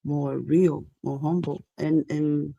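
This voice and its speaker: Mellow Cuban-accented woman telling stories casually. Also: deep voice, fairly even intonation, slow, gravelly, no echo, muffled recording. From Cuba. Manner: informal, peppered with swearing. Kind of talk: casual personal storytelling.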